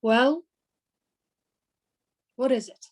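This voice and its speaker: A woman playing an aggrieved, gullible gentleman suitor in a Shakespearean radio play, indignant and petulant. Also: high-pitched, drawn-out words, full character voice.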